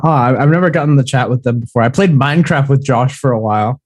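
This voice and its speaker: A man's voice: medium pitch, fast talker, darkish tone, no echo, studio quality. A man who produces music, chatting in a laid-back way as a podcast guest and laughing easily. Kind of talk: casual chat.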